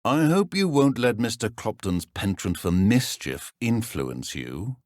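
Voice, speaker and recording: An actor playing a stern middle-aged British wizard professor in a video game, speaking in a measured, dry, formal way. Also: male voice, fairly low pitch, big pitch swings, darkish tone, no room sound. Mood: wry.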